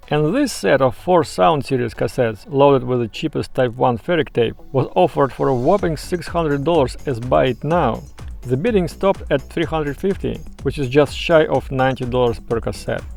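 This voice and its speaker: A man presenting a scripted video essay in a measured, explanatory and opinionated way. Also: medium pitch, creaky, a little mellow. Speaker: YouTube presenter.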